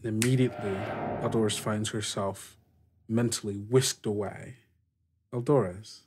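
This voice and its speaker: A man narrating an improvised tabletop game as game master, halting and searching for words as he goes. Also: fairly low pitch, fairly even intonation, noisy background.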